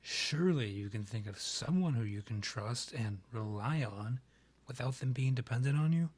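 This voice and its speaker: Man acting a breathy, haughty vampire monarch. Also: mid-range voice, animated, a little mellow. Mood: coaxing, exasperated. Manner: formal, measured, archly condescending phrasing. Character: haughty male vampire monarch, proud rival and ex-lover. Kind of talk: scripted romantic roleplay ASMR audio drama.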